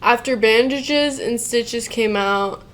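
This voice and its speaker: A woman's voice: a little high, slow pace. Blunt woman reading a post aloud. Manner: blunt, swears casually, interrupts the reading with reactions; stumbles and restarts while reading aloud. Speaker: co-host reacting to a Reddit post.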